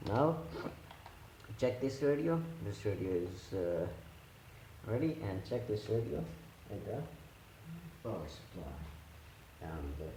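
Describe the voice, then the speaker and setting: Slow, low-voiced man giving halting instructions. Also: big pitch swings, mellow. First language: not English. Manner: halting, simple step-by-step phrasing. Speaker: repair tutorial host. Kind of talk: hands-on instructional demonstration. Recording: echoey room with clear background noise.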